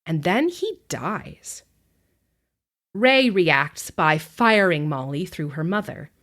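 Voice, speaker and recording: A woman narrating a scripted film essay, with measured, deliberate phrasing and emphatic pauses. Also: lowish voice, big pitch swings, a bit bright.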